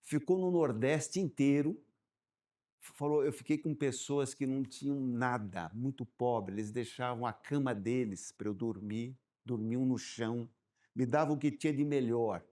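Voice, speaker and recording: Man, guest, warm dark tone, animated storyteller who stumbles over repeats. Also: mid-range voice, a little slow. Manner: animated storyteller, repeats words while thinking. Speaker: guest business owner. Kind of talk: casual podcast chat.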